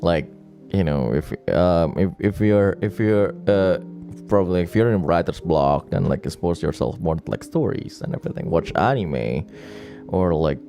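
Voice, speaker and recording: A man's voice: deep voice, mellow. A man chatting casually and rambling on a late-night stream, with many restarts and laughs. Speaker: virtual streamer.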